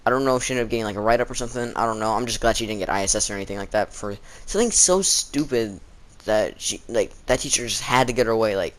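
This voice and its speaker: A man's voice: lowish voice, fast talker. Rambling teenage guy telling a story. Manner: rambling, acts out quoted exchanges. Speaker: storytelling vlogger. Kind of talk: casual storytime chat.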